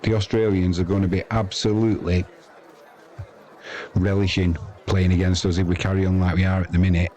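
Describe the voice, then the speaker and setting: A man's voice: deep voice, level tone, fairly quick, warm tone. A man with an English accent giving casual, rambling, chatty live cricket commentary as the host of a sports stream.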